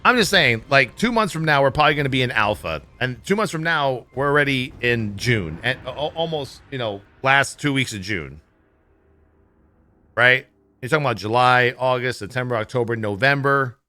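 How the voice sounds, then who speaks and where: A man's voice: mid-range voice, expressive, normal speed, sharp tone, soft background music. A man giving casual, blunt, opinionated livestream commentary on gaming news, swearing freely as he goes. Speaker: livestream host.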